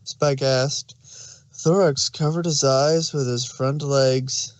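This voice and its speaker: A man's voice: medium pitch, steady tone, takes it slowly, no echo. A man narrating a story aloud as a scripted fan fiction reading.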